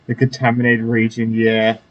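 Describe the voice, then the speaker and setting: A man's voice: medium pitch, completely flat delivery, moderate pace, highs cut off, a little hiss or hum. A man giving casual commentary as he plays through a video game. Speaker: gaming video host.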